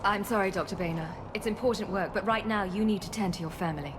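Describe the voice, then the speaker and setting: An actress playing a principled, weary female scientist in a video game cutscene, speaking in a measured, earnest way. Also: medium pitch, noisy background, lightly acted. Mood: businesslike.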